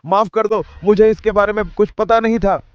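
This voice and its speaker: A man acting a timid, high-voiced delivery man. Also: fairly even intonation, moderate pace, clean tone, dry recording, muffled recording, close to an everyday voice. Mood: pleading. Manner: alarmed, apologetic. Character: humble, frightened young delivery man. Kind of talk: audio drama acting.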